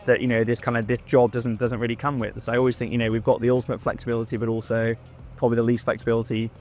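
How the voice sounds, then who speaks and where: A man, a former lawyer turned founder, speaking casually with halting starts. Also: medium pitch, flat delivery, a little mellow, faint music behind. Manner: halting starts, repeats words. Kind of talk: casual panel discussion.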